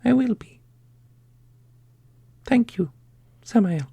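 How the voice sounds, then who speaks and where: A man's voice: extremely high pitch, expressive, takes it slowly, creaky, warm tone, breathy edge, no echo, heavily acted. A man voicing an ancient divine younger sibling, wounded and aching, in an audio roleplay drama. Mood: sad, tender.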